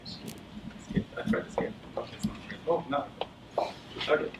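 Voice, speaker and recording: Swedish guy talking about his software at a meetup, lots of uhs, sounds far off in an echoey room. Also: moderate pace. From Sweden. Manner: relaxed, rambling with many fillers. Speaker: conference speaker. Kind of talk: casual tech talk. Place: lecture room. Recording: roomy, distant with audible background noise.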